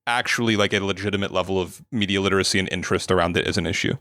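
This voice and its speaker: Male podcast guest, lowish voice, relaxed and searching for words, dry close mic. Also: very fast, no room sound. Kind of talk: casual post-game chat.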